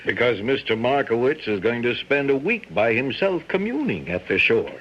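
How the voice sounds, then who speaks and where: A man's voice: medium pitch, very animated, normal speed, slight background noise. An actor playing a resourceful, persuasive schemer in a radio drama, insinuating his way along. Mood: confident, scheming. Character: resourceful, smooth-scheming man feigning bashful helpfulness. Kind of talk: old-time radio drama acting. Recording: muffled radio recording.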